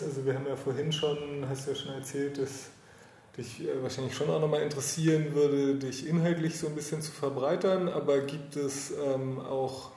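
Male interviewer, medium pitch, soft dark tone, informal and meandering, roomy recording with background noise. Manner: hesitant, winding phrasing. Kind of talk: casual, informal interview questioning.

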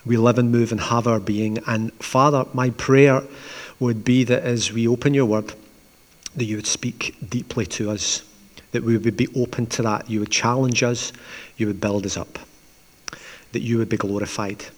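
A man with a Glaswegian accent, preaching as the pastor of a church in a conversational, gently humorous way. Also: medium pitch, steady tone, normal speed. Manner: conversational, with asides and dry humour. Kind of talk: sermon with opening prayer. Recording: long church reverb.

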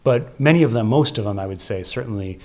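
A man with a fairly low voice talks as an interview guest. He explains things conversationally, working out his thoughts aloud. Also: lively intonation, fast talker, a little mellow. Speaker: interview guest, theatre festival curator and producer.

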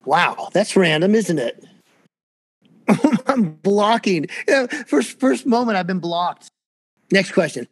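Man, squeaky voice, somewhat high, rambling, self-deprecating, casual interview guest. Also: expressive, takes it slowly. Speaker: freelance percussionist guest.

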